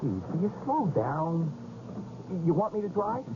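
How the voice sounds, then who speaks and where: Tinny, noisy radio drama. jittery skeptical buddy, highish voice, complaining and agitated. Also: male voice, sing-song, unhurried. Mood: irritated. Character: nervous, exasperated male friend, doubting and easily alarmed. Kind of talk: 1980s radio drama acting. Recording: tinny, noisy old radio broadcast recording.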